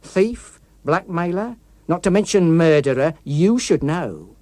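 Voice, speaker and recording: A man's voice: fairly high pitch, animated, slow, a little mellow, no room sound, voice pushed up. A sinister, vengeful criminal in police uniform played by a man reading an audiobook. His voice is raised and swings sharply as he taunts and accuses. The sound is close and dry. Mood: taunting, bitter. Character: menacing rogue policeman, a vengeful criminal come to life. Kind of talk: dramatic audiobook character.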